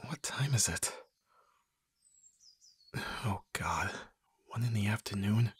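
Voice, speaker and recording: A man acting an awkward, flustered young best friend in an ASMR roleplay, with a breathy, husky voice and hesitant, stammering delivery. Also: lowish voice, lively intonation, takes it slowly, full character voice. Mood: groggy, muttering. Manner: hesitant, stammering, often dropping to whispers.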